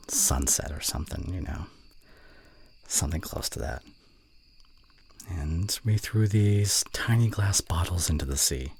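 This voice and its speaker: Casting: male actor for snarky college student in ASMR roleplay, young, low husky voice, teasing, grumbling, secretly fond. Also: heavily acted. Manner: teasing, grumbling, with sighs and breathy murmurs. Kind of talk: intimate ASMR roleplay acting.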